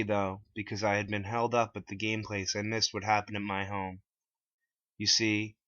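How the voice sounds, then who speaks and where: A man's voice: fairly low pitch, pushed and bright, no echo. A man reading his own first-person story aloud as a dramatic narration. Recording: dull lo-fi dry home recording.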